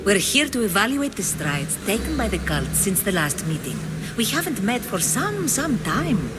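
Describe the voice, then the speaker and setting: A woman's voice: medium pitch, sing-song, moderate pace, heavy background noise, close to an everyday voice. A woman playing a zealous, gushing member of a secret cult in a video game, theatrical in manner. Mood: welcoming, fervent.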